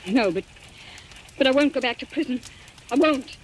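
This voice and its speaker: Guarded, proud heroine on hissy old radio. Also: female voice, high-pitched, animated, moderate pace, low-quality recording. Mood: desperate, pleading. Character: guarded, proud, desperate young woman being blackmailed. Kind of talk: old-time radio mystery acting. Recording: old radio recording with background hiss.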